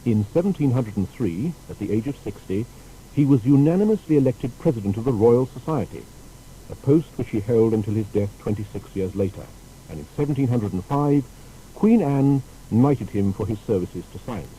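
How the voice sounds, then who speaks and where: A man's voice: mid-range voice, moderate pace, rough recording. A man narrating a scripted historical biography in a formal, storytelling manner. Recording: steady faint background noise.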